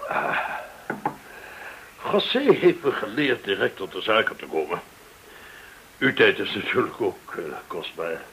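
An actor in a radio drama playing a courteous, smooth-talking gold dealer, effusively polite and theatrical in manner. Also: male voice, lowish voice, big pitch swings, a little slow, pauses often, fairly bright tone, exaggerated voice, rough recording. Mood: jovial hospitality. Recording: hissy old radio drama recording.

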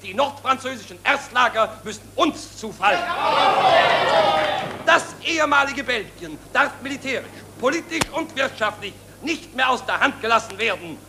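A man's voice: very high-pitched, animated, moderate pace. A man acting a fanatical German nationalist orator, declaiming stridently at a rally in a 1940s radio play. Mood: strident, demanding. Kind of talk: 1940s radio drama political speech. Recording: noisy 1940s radio recording, crowd behind.

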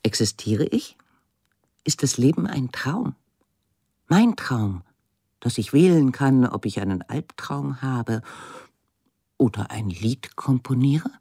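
A woman's voice: extremely low pitch, animated, takes it slowly, gravelly, pauses often, mellow, husky, no room sound, clean studio sound, exaggerated voice. An actress playing an imaginative, haunted woman artist in a declamatory radio drama monologue. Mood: questioning. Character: imaginative, haunted woman artist narrating her fears and dreams.

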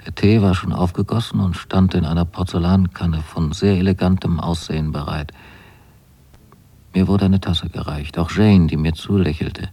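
An actor playing a naive, wryly fastidious son in a radio drama, telling of his new life in measured, precise, deliberate phrases. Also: sits low, steady tone, dark tone, husky. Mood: intimate, reflective. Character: young man writing letters to his mother, wryly fastidious and naive. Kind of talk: literary radio drama monologue.

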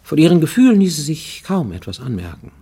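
An actor playing a brooding, lonely man confessing his troubled youth in a radio play, halting, intense and confiding, often close to whispering. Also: on the high side, big pitch swings, mellow, slightly rough, full character voice. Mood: intense, haunted. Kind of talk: dramatic first-person monologue in a radio play. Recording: studio radio play with music underneath.

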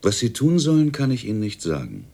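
An actor playing a brusque, condescending company boss in a radio drama, speaking pointedly and belittlingly. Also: male voice, medium pitch, wildly swooping pitch, a little fast, vocal fry, dark tone, slightly rough, heavily acted. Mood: scornful, lecturing.

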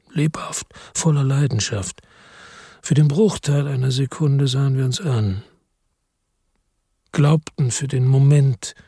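A man reading first-person literary narration in a measured, brooding, melancholic manner. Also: mid-range voice, mellow, slightly rough, dry recording, clean studio sound. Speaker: audiobook narrator.

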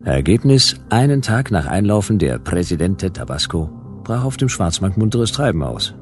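A deep-voiced private eye narrates a sci-fi radio play. He is dry, wry and laconic in a hard-boiled way. Faint music sits behind him. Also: sing-song, normal speed. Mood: wry, matter-of-fact. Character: laconic, wry male private detective narrating his case.

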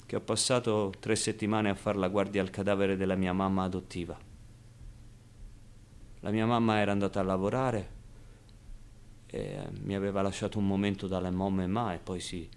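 Man acting wistful orphan boy in theatrical monologue, lowish voice. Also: natural voice. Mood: defensive, grieving. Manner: halting, emotional, swinging from outbursts to hushed confiding. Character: orphaned streetwise boy, wistful, cheeky, longing for love. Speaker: stage actor.

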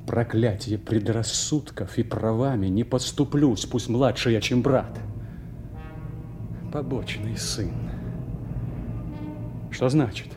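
A man playing a scheming, defiant young nobleman in a brooding, emphatic theatrical soliloquy. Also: on the low side. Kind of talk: theatrical soliloquy, classical radio drama.

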